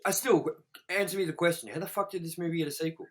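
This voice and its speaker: A man's voice: a little high, quick pace. Blunt, swearing Australian man. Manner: blunt and profane, rambling with many fillers. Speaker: co-host, movie reviewer. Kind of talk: casual review chat.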